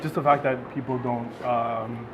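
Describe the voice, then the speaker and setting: A man's voice: medium pitch, little pitch movement, moderate pace, dull audio. A young man answering interview questions earnestly and plainly. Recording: noisy background.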